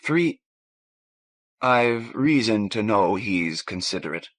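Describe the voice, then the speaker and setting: A man voicing a warm, frank Irish woman, a ship's doctor, in a lilting, coaxing manner for an audiobook. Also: fairly low pitch, animated, takes it slowly.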